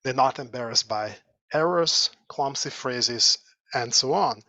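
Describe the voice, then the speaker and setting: A man with a Hungarian accent, a sociology lecturer giving a measured, thoughtful seminar talk over a video call. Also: medium pitch, sharp tone, no echo. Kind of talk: academic seminar presentation.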